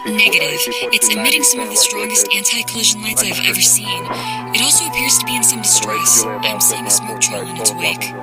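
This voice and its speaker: A woman's voice: mid-range voice, expressive, brisk, loud music behind the voice, natural voice. A woman playing a professional airline pilot on air traffic radio in an audio drama. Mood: wary, puzzled. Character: professional airline pilot, rattled by a strange object.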